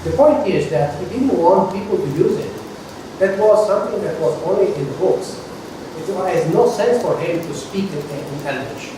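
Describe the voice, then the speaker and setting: A man whose first language is Italian lectures a university seminar, patiently explaining and pausing to check his audience follows. His voice sits a little high. He is picked up from a distance in a very echoey room with a clear hiss of noise behind. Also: animated. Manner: explanatory, checks in with "okay?", frequent restarts. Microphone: distant from the recording mic. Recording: very echoey room recorded from far off.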